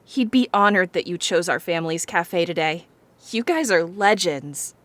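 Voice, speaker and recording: An actress playing an admiring, earnest coffee shop barista in an audio comedy. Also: female voice, on the low side, animated, moderate pace, a bit bright, no echo. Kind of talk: audio drama comedy acting.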